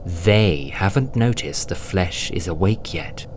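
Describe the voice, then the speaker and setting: A man narrating a dramatic scripted audiobook in a suspenseful, deliberate manner, sometimes hushed. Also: sits low, lively intonation, background noise.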